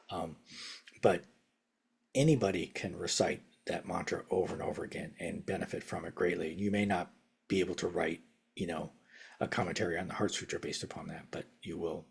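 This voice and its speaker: An older man teaching casually over a video call from his home study. His talk rambles, with restarts, chuckles and phrases that trail off softly. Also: low voice, creaky, slightly rough. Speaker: meditation teacher. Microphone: video call webcam.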